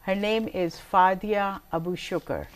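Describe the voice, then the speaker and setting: A woman from Pakistan hosts an interview show. She asks her questions in a formal, deliberate way. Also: medium pitch. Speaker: talk show host. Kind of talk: hosting an interview.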